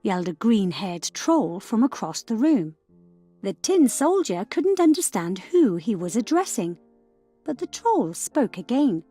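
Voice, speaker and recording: A woman storyteller narrating a scripted fairy tale for children in a measured, expressive way. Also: a little high, husky, soft background music.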